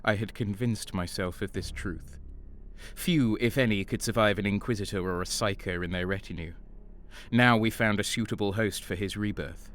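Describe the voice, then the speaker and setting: A man playing a haunted traitor soldier who narrates his war in a grave, deliberate way for an audio drama. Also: fairly low pitch, a bit bright, slight background noise, natural voice. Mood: haunted, brooding. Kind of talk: dramatic scripted first-person narration. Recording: music under the voice. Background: dramatic music.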